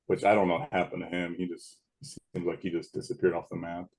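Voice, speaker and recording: A man in his late thirties with a big booming voice, a guest chatting casually in a laid-back, rambling way. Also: level tone, fairly quick, darkish tone, breathy edge. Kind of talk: casual chat.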